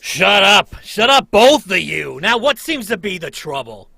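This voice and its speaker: A man acting out a harried circus manager in a 1940s-style radio play, barking orders in a bright, tense voice. The recording is dry and close, with lively swings in pitch. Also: measured pace, creaky, dry recording, exaggerated voice. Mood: stern.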